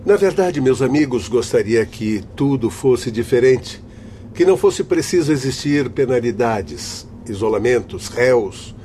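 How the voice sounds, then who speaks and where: Stern, learned doctor in a mellow voice played by a man. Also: mid-range voice, expressive, measured pace, a little hiss or hum, natural voice. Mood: grave. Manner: formal, didactic, emphatic oratory. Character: stern, learned male spirit doctor, admonishing guide. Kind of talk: radio drama acting.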